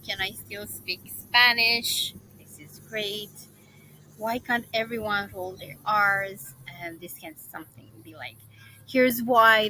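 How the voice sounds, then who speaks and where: A woman copywriter talks through her research for a lesson, reading snippets aloud and pausing to weigh them. Her pace is unhurried and her voice is bright. A faint background noise runs under the recording. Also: medium pitch, rough recording. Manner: musing, reading search results aloud, frequent fillers. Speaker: copywriting tutor. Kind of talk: screen-share tutorial, thinking aloud.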